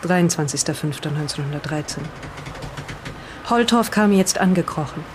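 A woman's voice: sits low, expressive, background noise. A woman playing a hesitant, bewildered reader of an old diary, reading aloud and commenting in an audio drama. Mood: absorbed reading. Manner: halting, breaks off to comment on the reading.

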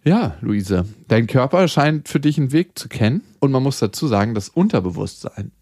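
A man's voice: mid-range voice, big pitch swings, moderate pace, mellow, dry recording, clean studio sound. A man co-hosting a podcast, reading listener mail and trading frank, joking banter. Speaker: podcast co-host.

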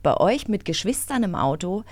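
A woman's voice: medium pitch, very animated, moderate pace, a little mellow. A woman co-hosting a podcast in a casual, playful style. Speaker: podcast co-host.

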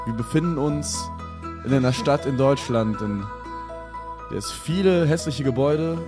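A man's voice: mid-range voice, normal speed, music in the background. A man hosting and narrating an improvised comedy audio play with mock-solemn delivery. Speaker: podcast host and narrator.

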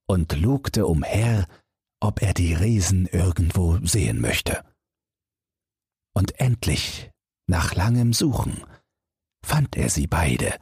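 A man narrating a fairy tale audiobook for bedtime in a measured, expressive storytelling manner. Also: low voice, slightly rough, no echo, clean studio sound.

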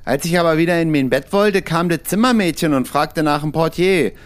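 An actor playing a simple, fearless young fairy-tale miller's son who tells things in a naive, folksy way in an audio drama. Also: male voice, fairly high pitch, fairly quick, close to an everyday voice. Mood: naive, plain.

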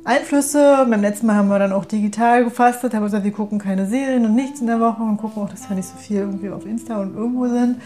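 A woman's voice: a little high, quick pace, hardly any pauses, dark tone, faint music behind. A woman chatting casually and spontaneously as a guest in conversation. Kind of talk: casual chat.

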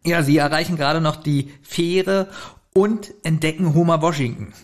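A man's voice: fairly high pitch, moderate pace. A man co-hosting a podcast, retelling and critiquing a story in an informal conversational way. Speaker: podcast co-host. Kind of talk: casual review chat, retelling a plot.

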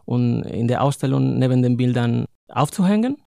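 A man's voice: medium pitch, animated, moderate pace, vocal fry, warm tone, no echo, clean studio sound. A man in his thirties from Spain, speaking German with a Spanish accent as his second language, a poet guest in an interview who talks thoughtfully, searches for words and laughs easily. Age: 30s. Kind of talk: interview, reading own poem.

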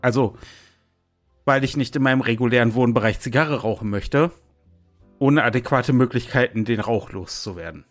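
A man's voice: mid-range voice, normal speed, faint music behind. A man chatting casually as co-host of a podcast.

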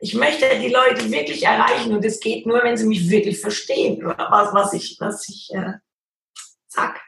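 Female guest singer, gravelly and earnest, roomy and slightly noisy recording. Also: on the high side, steady tone, moderate pace, fairly bright tone. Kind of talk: casual interview chat.

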